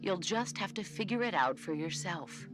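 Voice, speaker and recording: An actress playing a stern, composed veteran soldier and mentor in a video game, speaking with measured authority. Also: female voice, fairly low pitch, lively intonation, close to an everyday voice. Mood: firm, grave. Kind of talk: dramatic video game voice acting. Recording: clear music behind.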